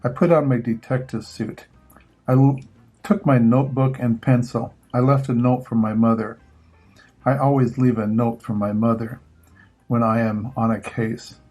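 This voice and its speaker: An older man reading a children's storybook aloud in short, measured phrases with pauses between them. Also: mid-range voice, steady tone, warm tone, faint music behind. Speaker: grandfather reading picture books aloud.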